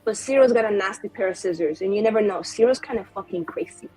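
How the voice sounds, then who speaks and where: A woman's voice: medium pitch, fast talker, a little mellow, faint music behind, close to an everyday voice, rough recording. A woman playing a cocky, spiteful, foul-mouthed female mage in an improvised tabletop roleplay, with brash slangy delivery. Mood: sassy, spiteful.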